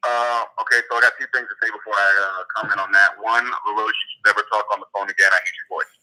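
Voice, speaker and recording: A man's voice: lowish voice, little pitch movement, fast, pushed and bright. A man calling in by phone, talking in a laid-back, slangy way with some swearing. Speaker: caller, league team owner. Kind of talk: casual phone chat. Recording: tinny phone line.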